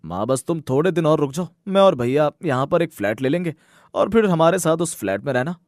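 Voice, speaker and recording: An earnest young son from a cartoon moral story, played by a man, with a fairly high male voice in a dry recording. He talks directly and familiarly, protective of his family. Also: lively intonation, fairly quick, no room sound, lightly acted. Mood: reassuring. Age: young adult. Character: earnest young working sons, protective of their mother. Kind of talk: scripted cartoon story dialogue.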